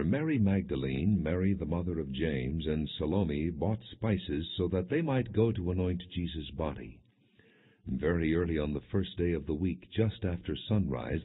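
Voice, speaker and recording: A man with a very low, husky voice reads scripture as a scripted audiobook narration. His delivery is solemn and measured, with expression in quoted speech. The recording is muffled and thin, like a phone line. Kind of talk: scripted scripture narration, non-dramatized reading. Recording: dull, phone-like narrow-band audio.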